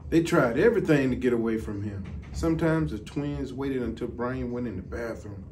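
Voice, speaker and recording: A middle-aged man reads a children's storybook aloud in a measured, deliberate way. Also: medium pitch, a little mellow. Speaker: deputy fire chief. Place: indoor office, seated. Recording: distant mic, some background noise.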